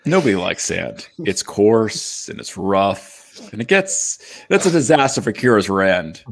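A man's voice: fairly low pitch, very animated, unhurried, gravelly, heavily acted. A man playing an earnest, roguish young space smuggler in a comedic live table read. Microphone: home video call.

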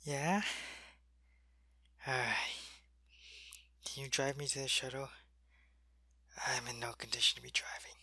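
A man playing a sick, weak male alien soldier in an ASMR roleplay. He speaks softly into a close mic and often drops to a whisper. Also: medium pitch, flat delivery, unhurried, long pauses, breathy edge, no echo, heavily acted. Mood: weary, gentle. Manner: soft-spoken, drifting into whispers.

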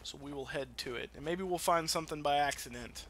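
A man's voice: fairly high pitch, a little fast, fairly bright tone, a little hiss or hum. A man giving casual, rambling let's play commentary, with some swearing. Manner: rambling, profane. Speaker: gaming video host.

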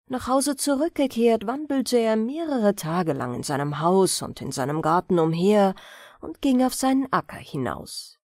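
A woman narrates fairy tales for bedtime at an unhurried pace. Her mid-pitched reading is expressive and moves widely up and down. The recording is dry and close. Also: dry recording. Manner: expressive, measured storytelling. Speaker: audiobook narrator.

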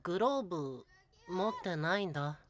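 A woman's voice: fairly low pitch, expressive, normal speed, slight background noise, natural voice. A woman playing a shy, sweet young boy in Japanese voice drama dialogue. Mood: hesitant.

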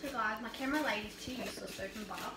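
A woman's voice: on the high side, racing, pushed and bright, reverberant, distant mic. A teenage girl, joking and chatting to viewers while she cooks in a home kitchen, filmed on a phone. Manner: bubbly and joking, talks to viewers. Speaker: vlogger cooking. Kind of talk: casual chat. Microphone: handheld phone camera. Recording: phone audio with kitchen noise.

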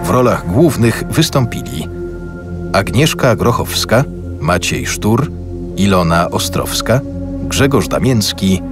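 A man's voice: fairly low pitch, sing-song, takes it slowly, loud music behind the voice. A man reading a scripted voice-over for an audio drama, translating dialogue and announcing credits. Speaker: voice-over reader.

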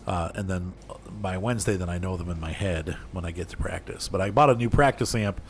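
Easygoing low-voiced man streaming a farm game. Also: fairly quick, slight background noise. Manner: rambling, easygoing, chatty with viewers, laughs often. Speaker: streamer playing a farming game. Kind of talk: casual gameplay chat.

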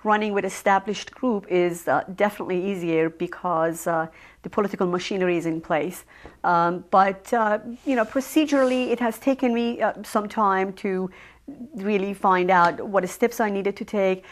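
A woman with a Persian accent speaks in an even middle register during a local cable interview. She talks in a measured, careful way and often pauses on ums and uhs while she gathers her thoughts. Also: medium pitch, slight background noise. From Iran. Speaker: village trustee candidate.

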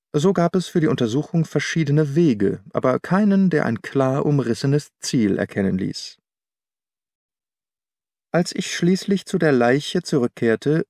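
A man reading a literary detective story as an audiobook narrator, in a measured, precise and clearly enunciated way. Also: medium pitch, darkish tone, dry recording, studio quality. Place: dry recording studio.

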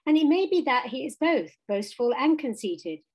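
A woman's voice: high-pitched, big pitch swings, moderate pace, darkish tone, husky, exaggerated voice. A woman voicing a good-natured, cheerful male water rat in a storybook, chatty and emphatic.

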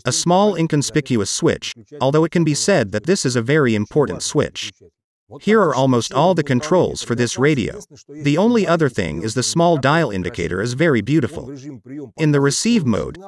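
A middle-aged man with a Russian accent giving a scripted, matter-of-fact technical explanation as a presenter of radio reviews. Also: medium pitch. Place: small dim room with computer desk.

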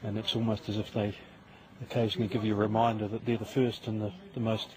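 A man's voice: on the low side, flat delivery, fast talker, a crowd in the background, rough recording. A man speaking as a university scientist, explaining his subject in an outdoor field interview. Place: outdoors on a lake shore. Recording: faint background noise outdoors.

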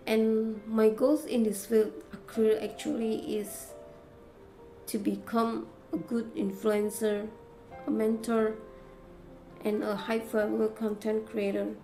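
Woman, Indonesian accent, slightly high voice, gaming creator presenting a prepared talk, very unhurried delivery. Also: level tone, dark tone. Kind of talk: prepared speech to camera. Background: faint music.

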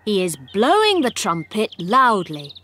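A woman narrating a simple scripted story for English learners in a deliberate, expressive way. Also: medium pitch.

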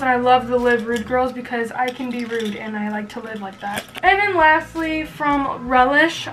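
A woman's voice: high voice, fairly bright tone, rough recording. A young woman in her late teens chatting casually to camera in a bedroom vlog, rambling with lots of fillers. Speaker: vlogger. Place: bedroom at home, indoors.

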